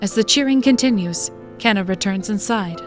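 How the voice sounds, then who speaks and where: Woman, dramatic scripted narration, mid-pitched, fantasy story narrator, music clearly behind. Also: moderate pace.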